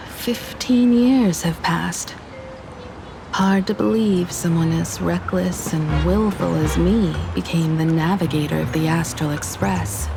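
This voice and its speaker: A woman playing a calm, composed young navigator in a video game, speaking in a measured, reflective way. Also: lowish voice. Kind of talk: scripted video game dialogue. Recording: music behind the voice.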